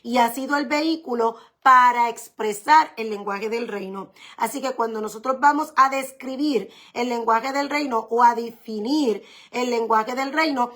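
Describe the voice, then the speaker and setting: A woman pastor giving a didactic sermon, explaining things deliberately and repeating key points for emphasis. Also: fairly high pitch, a bit bright.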